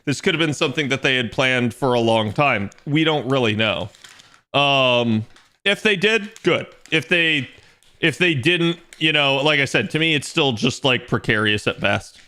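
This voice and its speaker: A young man chatting casually on his game stream, rambling and restarting as he thinks aloud, with a slightly raspy voice today. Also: mid-range voice, animated, normal speed, pushed and bright. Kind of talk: casual livestream chat.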